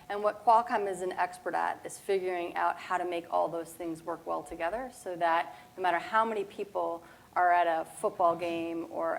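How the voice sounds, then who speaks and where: A woman with a fairly low voice gives an energetic, conversational panel talk in a conference room. She has a loud voice and speaks into a clip-on mic. There is faint noise in the background. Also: gravelly, low-quality recording. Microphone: clip-on mic, then handheld.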